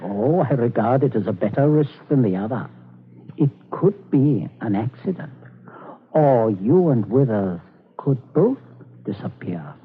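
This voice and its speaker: A man's voice: mid-range voice, measured pace, slightly rough. A cold, menacing armed father. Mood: menacing calm. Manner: calm, clipped, coldly threatening. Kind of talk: 1940s radio acting. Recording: tinny old radio broadcast.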